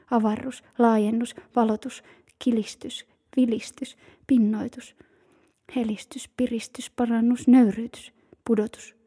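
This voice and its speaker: A woman whispering a slow, rhythmic chant of single words in a breathy, hushed voice. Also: on the high side. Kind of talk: whispered incantation-like word chanting.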